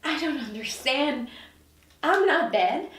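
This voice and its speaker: An incredulous, indignant newcomer played by a woman speaks her dramedy lines in a high, very lively voice that swings around in pitch. She is recorded from a distance in a roomy space with faint noise behind. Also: slow, full character voice. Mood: shocked, confused. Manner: incredulous, expressive. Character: indignant, bewildered newly dead woman in hell. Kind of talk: table read of a TV dramedy script. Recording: echoey room, distant mic, faint noise.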